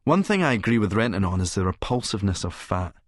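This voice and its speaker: A man's voice: on the low side, big pitch swings, fast talker, no echo, clean studio sound. A man with a Scottish accent reading first-person audiobook narration in a sardonic, sneering manner.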